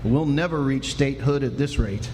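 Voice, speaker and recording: An actor playing a folksy, skeptical Texan sheriff in a live Western radio drama, with a drawling rustic way of talking. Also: male voice, medium pitch, normal speed, a little mellow, natural voice. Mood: calming, wry. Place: live stage performance indoors. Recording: noisy live stage, reverberant hall.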